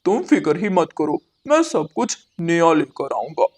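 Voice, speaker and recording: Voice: mid-range voice, big pitch swings, a little slow, a little mellow. A performer voicing a stingy, bossy male crow, a husband and father, in a moral-story cartoon, with a curt manner. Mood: businesslike.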